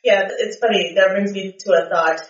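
A seminary-trained woman co-hosting a faith podcast, telling stories with an excitable, laughing manner. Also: medium pitch, moderate pace. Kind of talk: storytelling faith conversation. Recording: echoey room, distant mic, muffled.

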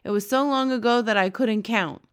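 A woman's voice: a little high, brisk, clear, resonant tone, voice pushed up, close to an everyday voice. A woman voicing a very old, kindly male giant who talks with mangled grammar and made-up words. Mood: emphatic.

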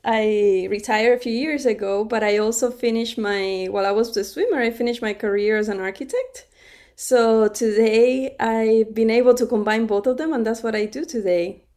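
A woman's voice: fairly high pitch, fairly even intonation, normal speed, a little mellow. A middle-aged woman from Cuba, a podcast guest telling her story in a conversational interview, laughing often and restarting her sentences.

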